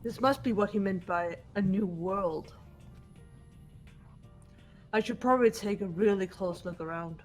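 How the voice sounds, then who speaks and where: A woman's voice: medium pitch, measured pace, long pauses, soft background music. A woman taking part in a game playthrough, commenting and reading lines casually. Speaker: playthrough participant.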